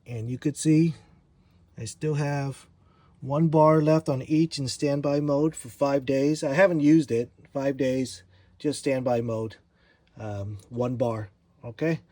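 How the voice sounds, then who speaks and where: A man's voice: medium pitch, fairly even intonation, slow pace, mellow. A man with a mid-range voice talks through a gear review for a vlog. His delivery is casual and informal, explaining things plainly as he goes. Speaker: product reviewer.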